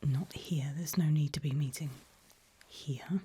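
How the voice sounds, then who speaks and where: A woman whispering gently and reassuringly to a patient in an ASMR medical roleplay, close to the microphone. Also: low voice, a little slow, breathy edge. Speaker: ASMR performer playing a back-alley eye surgeon.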